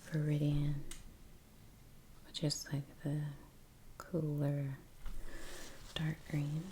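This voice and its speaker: A woman speaking in a soft, breathy near-whisper, murmuring an unhurried ASMR commentary as she paints. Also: low-pitched, completely flat delivery, a little hiss or hum. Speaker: ASMR creator narrating her painting. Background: soft brush and click sounds.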